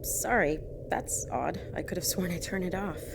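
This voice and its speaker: A woman's voice: on the low side, moderate pace. An actress playing an uneasy woman driving alone at night, telling her strange story in a hesitant, reflective way for an audio drama. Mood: wary, polite. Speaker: lead actress narrating in first person. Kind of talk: dramatic audio fiction monologue and dialogue. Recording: clear vehicle and road ambience noise.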